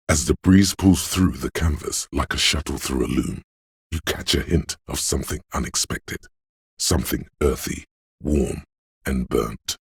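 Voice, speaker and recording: An actor playing a man's calm, wry inner sense of perception in a game, calmly pointing out details and addressing the listener as "you". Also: extremely low pitch, vocal fry, breathy edge, no room sound, studio quality, full character voice. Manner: measured, noticing details in second person. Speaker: voice actor for a game's inner skill voice. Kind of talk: scripted game voice lines.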